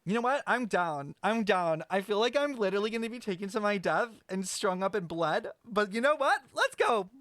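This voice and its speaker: Streamer, young woman: casual playthrough chatter, sarcastic, sweary, excitable outbursts, close dry mic. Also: mid-range voice, normal speed, hardly any pauses, no echo. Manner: slangy, sweary, sarcastic, animated exclamations. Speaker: game streamer.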